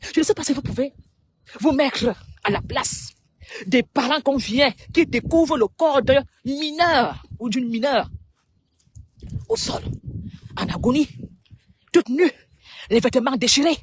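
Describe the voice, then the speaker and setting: A woman's voice: high-pitched, animated. Impassioned Cameroonian woman, noisy recording. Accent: Cameroonian French. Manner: emphatic, halting short phrases with dramatic pauses. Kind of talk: impassioned public appeal.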